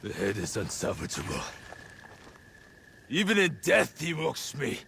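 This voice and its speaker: An actor playing a cold, bitter samurai lord in a video game, speaking with deliberate scorn. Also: male voice, medium pitch, wildly swooping pitch, creaky, bright, forceful tone, slight background noise, heavily acted. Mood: bitter contempt. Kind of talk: dramatic video game cutscene acting.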